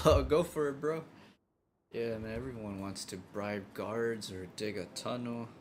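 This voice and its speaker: A woman's voice: rumbling low, measured pace, noisy background. A woman giving casual live reaction commentary as she streams a game. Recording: music playing behind.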